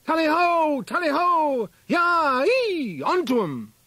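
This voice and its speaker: Man acting an excited, high-pitched hallooing English huntsman. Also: slow pace, no echo, full character voice. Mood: excited, urgent. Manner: loud, urgent hunting cries. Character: excited male huntsman hallooing on his hounds.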